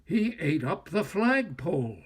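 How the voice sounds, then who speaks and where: An older man with a husky voice reads a children's storybook aloud in a slow, animated, expressive way. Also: fairly high pitch. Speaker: volunteer story reader, a grandfather.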